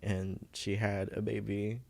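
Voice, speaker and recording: Slow, gravelly young man. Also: lowish voice, flat delivery. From Philippines. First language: Visayan. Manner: soft-spoken, halting, searching for words, laughs nervously. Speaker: podcast guest. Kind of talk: personal story in casual interview.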